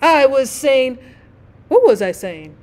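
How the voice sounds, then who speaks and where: Pompous male storybook rocket, played by a woman: high, haughty, self-important, easily affronted, declaiming grandly. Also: big pitch swings, slow pace, heavily acted. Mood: haughty, huffy.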